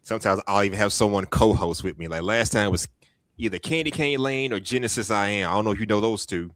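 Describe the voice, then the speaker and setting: A man's voice: on the low side, fast talker, fairly bright tone, no echo. A man chatting on a live stream as a fellow streamer, easygoing and laid-back. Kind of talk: casual chat on a live stream.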